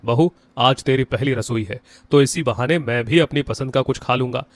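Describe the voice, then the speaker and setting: A man's voice: mid-range voice, normal speed, dry recording, lightly acted. A man playing a demanding, teasing family man with a mock-complaining manner in a moral story. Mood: mild complaint. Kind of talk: moral-story character acting.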